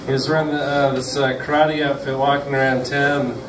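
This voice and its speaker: A young man doing deadpan stand-up on a small club stage, pausing on many uh's between one-liners, picked up by a camera at the back of the room. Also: medium pitch. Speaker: stand-up comedian. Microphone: stand mic, filmed from the back of the room. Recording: roomy distant club recording with loud crowd noise.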